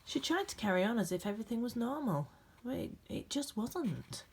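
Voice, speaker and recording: A woman reading a children's picture book aloud with gentle, expressive storytelling emphasis. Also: fairly high pitch, a little fast, slight background noise. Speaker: storybook reader.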